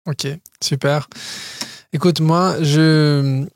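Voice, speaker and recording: A man's voice: on the high side, steady tone, very slow, no room sound, clean studio sound. A man in his thirties, originally from Brazil, telling his story as a guest in a casual podcast interview, with frequent hesitations and restarts. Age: 30s. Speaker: guest entrepreneur.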